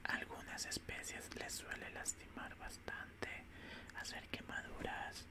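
Slow whispering man, ASMR alien doctor. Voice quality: breathy, unvoiced whisper. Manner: slow, soothing, repeating short phrases. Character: calm, clinical alien doctor examining an abducted specimen. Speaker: ASMR roleplayer. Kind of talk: whispered ASMR medical roleplay as an alien examiner. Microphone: close desk microphone.

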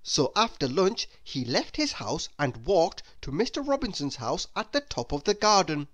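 A man reading a children's story aloud as narrator, in an expressive, deliberate way. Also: on the high side. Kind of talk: scripted narration for young children.

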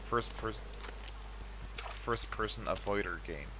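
A man's voice: lowish voice, slow pace. A man playing a horror game and talking it through, hesitant with many fillers. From Norway. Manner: hesitant, many ums and uhs; panicky yelling when startled. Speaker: gaming youtuber. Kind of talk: let's play commentary. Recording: noisy, lo-fi home recording.

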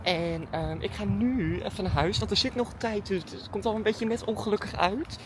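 A woman talks in a casual, chatty vlog style, thinking aloud informally. Her voice sits at a medium pitch. The handheld recording carries plenty of ambient noise. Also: moderate pace. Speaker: daily vlogger and dancer.

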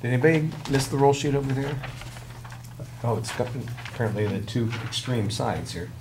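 A man's voice: medium pitch, expressive, fairly quick. A man speaking casually as a class instructor, managing his students in a classroom. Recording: noisy classroom recording with room ambience.

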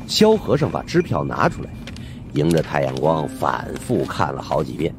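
A man's voice: rumbling low, huge pitch swings, moderate pace, background noise. A man narrating an audiobook as dramatic, scripted storytelling.

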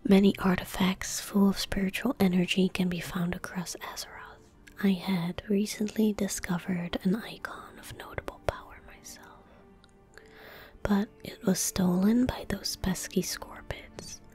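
Whispering, slow-paced young woman. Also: medium pitch, little pitch movement. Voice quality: breathy, whispery, soft. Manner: unhurried, gentle, murmured. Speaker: ASMR gaming streamer. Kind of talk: soft-spoken ASMR game commentary. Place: indoor room at a desk. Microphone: close desk microphone.

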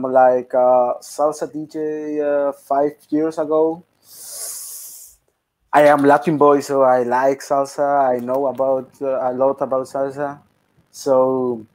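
Guatemalan guy in his 20s, spanish native, slow careful english, kinda dark mellow tone, on a video call. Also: mid-range voice, poor audio. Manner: hesitant, halting English with many fillers. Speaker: guest DJ. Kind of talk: casual video-call interview. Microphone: headset on a video call.

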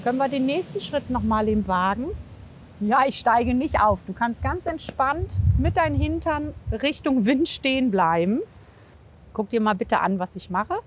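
A woman's voice: on the high side, lively intonation, normal speed, slight background noise. A woman giving a casual hands-on demonstration outdoors, coaxing with short repeated reassurances and explaining as she works. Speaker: horse physiotherapist and trainer. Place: outdoors at a horse yard in wind and rain.

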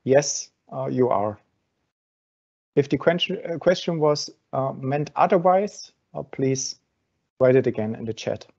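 A man's voice: mid-range voice, animated, unhurried, vocal fry, a little mellow. A man hosting a webinar, answering audience questions step by step with many fillers over a video call. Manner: explains step by step with frequent fillers. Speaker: webinar host from an exchange. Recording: dull lo-fi video call audio.

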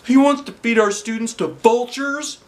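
A man voicing a frantic school principal, shouting indignantly in a high squeaky voice. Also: normal speed. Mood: outraged.

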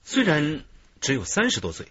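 A man's voice: on the high side, huge pitch swings, moderate pace, a bit bright, breathy edge, heavily acted. An actor playing a thoughtful, modest male police detective in a radio drama. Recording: dull lo-fi radio drama recording.

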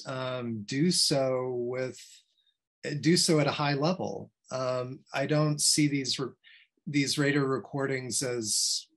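A man's voice: medium pitch, fairly bright tone. A middle-aged male professor answering a question on a video call from his study, talking discursively with many "you know"s. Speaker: guest speaker, history professor. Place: attic study with bookshelves, indoors. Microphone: video call computer mic.

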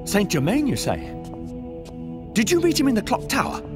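A sly robed schemer, fairly high-voiced and extremely sing-song. His phrasing is florid and courtly, pure video game theatrics, with loud music behind. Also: huge pitch swings, normal speed. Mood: startled, hurried. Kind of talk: dramatic video game acting.

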